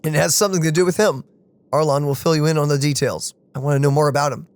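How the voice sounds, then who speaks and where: A woman's voice: deep voice, fast talker, a little mellow. A woman streaming a let's play, casually commenting and reading game dialogue aloud. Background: game music.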